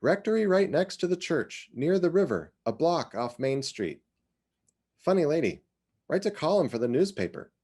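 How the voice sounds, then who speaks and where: A man voicing a brisk, opinionated small-town woman in a storybook reading, with bright, emphatic, gossipy phrasing. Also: a little high, sing-song, husky, voice pushed up. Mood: enthusiastic, gossipy.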